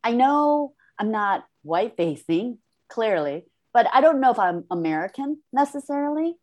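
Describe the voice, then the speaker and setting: A woman's voice: a little high, big pitch swings, a little mellow. Korea-born woman, guest on a discussion panel, hesitant and searching delivery. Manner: thoughtful, halting, frequent restarts. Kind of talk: reflective conversation.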